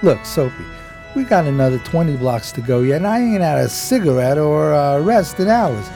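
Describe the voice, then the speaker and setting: Man playing a slangy, mellow streetwise American drifter. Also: a little high, very animated, normal speed, vocal fry. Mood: grumbling, evasive. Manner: hesitant, slangy, ungrammatical street talk. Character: dim, streetwise homeless man, loyal, anxious sidekick. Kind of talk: radio play dialogue and narration. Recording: music behind the voice.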